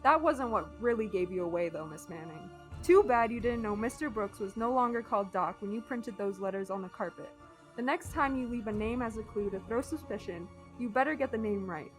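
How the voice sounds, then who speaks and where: A woman playing a methodical police inspector in a radio drama, speaking in a measured, formal way. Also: medium pitch, expressive, faint music behind, lightly acted. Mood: smug, accusing. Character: methodical, knowing police inspector questioning suspects. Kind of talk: amateur radio drama acting.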